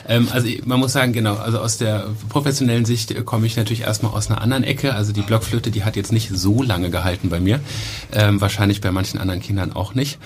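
A man talking calmly about his training and career as an architect, with frequent fillers. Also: fairly low pitch, fast, slight background noise. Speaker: architect and festival co-organizer. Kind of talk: casual podcast conversation.

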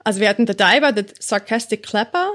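A woman's voice: mid-range voice, expressive, fast, fairly bright tone. A woman co-hosting a podcast, chatting casually about gestures, laughing often and using many fillers. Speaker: podcast co-host.

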